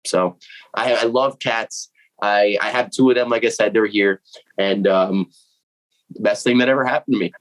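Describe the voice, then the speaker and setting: Animated young man in casual chat. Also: lowish voice, moderate pace, low-quality recording. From Puerto Rico. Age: 20s. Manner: animated, slangy, swearing, acts out his own reactions. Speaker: metal vocalist interviewee. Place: home room with cats.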